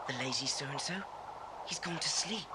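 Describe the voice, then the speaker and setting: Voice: mid-range voice, normal speed, close to an everyday voice. An actor playing an eager, sensible British schoolboy in a children's audio adventure drama. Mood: hushed, eager. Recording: noisy old cassette story tape.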